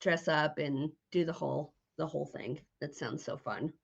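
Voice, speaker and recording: Low-voiced woman, informal, slightly muffled audio. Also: normal speed, creaky, breathy edge. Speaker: interviewer, podcast host. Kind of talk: casual chat.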